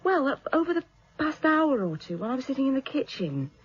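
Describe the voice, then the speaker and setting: A woman's voice: high-pitched, very animated, fairly quick. An actress playing a weary, defensive British woman being questioned as a witness in a radio drama, hesitant and wryly tart. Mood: defensive, uneasy. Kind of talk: radio drama acting, naturalistic dialogue. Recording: slightly dull, muffled radio recording.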